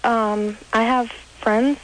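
A woman's voice: on the high side, steady tone, slow. Woman calling in, faint noise on line. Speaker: radio show caller. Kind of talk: casual phone-in chat. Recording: faintly noisy radio call-in audio.

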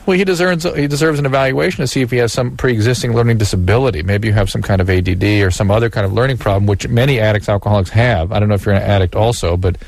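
A man with a fairly low voice gives calm, clinical explanations as the doctor on a call-in radio show. The recording is dry and close. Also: racing, creaky, few pauses, dry recording. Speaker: physician co-host.

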